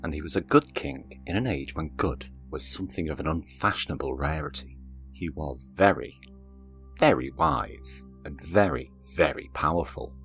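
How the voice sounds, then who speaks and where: Male narrator, extremely low voice, measured fairy-tale reading with music underneath. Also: expressive, fairly bright tone. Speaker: storyteller, narrator. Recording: narration over background music.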